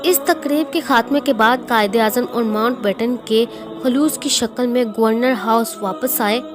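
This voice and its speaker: A woman's voice: fairly high pitch, moderate pace. A woman narrating a scripted historical speech in a formal, declamatory manner. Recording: music behind the voice. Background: clear background music.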